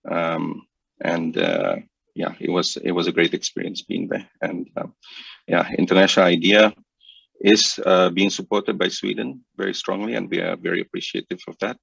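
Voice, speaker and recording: A man's voice: deep voice, normal speed, vocal fry, fairly bright tone, husky, dry recording. A man from Indonesia joining a panel remotely, introducing himself hesitantly with many fillers. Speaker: panel guest. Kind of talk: introductory remarks. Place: remote office. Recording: dull dry remote audio.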